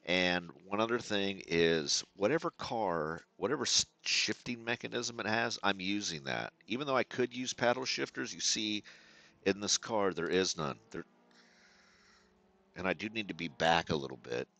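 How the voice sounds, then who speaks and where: A man's voice: sits low, expressive, normal speed, creaky, bright, forceful tone. A man giving casual commentary to viewers while driving a racing sim at home, sometimes mumbling and trailing off as he adjusts settings.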